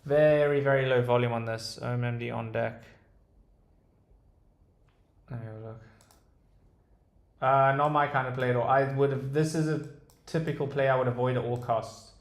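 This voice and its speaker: A man's voice: medium pitch, steady tone, normal speed, long pauses. A young man with a British accent, casually talking through stock charts on a live stream and answering chat, hesitant with many ums and restarts. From Dubai. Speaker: day trader streamer. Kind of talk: casual livestream chart commentary, reading chat. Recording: roomy echo.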